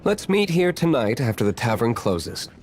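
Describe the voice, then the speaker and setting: A man playing an aloof, cynical young tavern owner in a video game, speaking in a cool, dry, measured way. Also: medium pitch, sing-song, noisy background, natural voice. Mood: composed. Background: background music.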